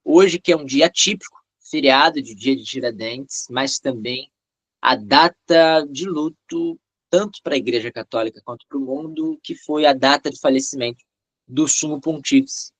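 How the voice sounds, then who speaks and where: Um homem modera uma sessão por videochamada e apresenta o palestrante com fala pausada e formal. A voz tem altura média e o som é seco, sem eco de sala. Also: male voice, mid-range voice, a bit bright, dry recording. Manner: measured, formal and deliberate. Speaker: moderator introducing the speaker. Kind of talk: formal introduction.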